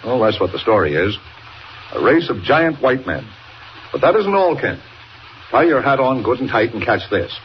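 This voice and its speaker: An actor playing a brisk, urgent newspaper boss in 1940s radio style, speaking with emphatic authority. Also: male voice, mid-range voice, very animated, lightly acted. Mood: urgent, intriguing. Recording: muffled, noisy 1940 radio recording.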